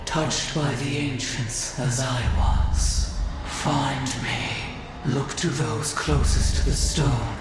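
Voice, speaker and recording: Man acting as ancient otherworldly being, echoing, extremely slow, solemn calls, game cutscene, music behind. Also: medium pitch, close to an everyday voice. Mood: solemn summons. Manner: solemn, drawn-out. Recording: echoing, distant, under loud music.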